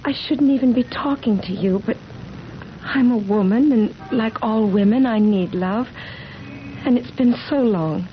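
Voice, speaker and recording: A woman's voice: on the high side, unhurried, lightly acted. A woman acting in a 1970s radio drama as a young, fatalistic woman longing for love, earnest and melodramatic. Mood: anguished. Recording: dull, noisy vintage radio recording.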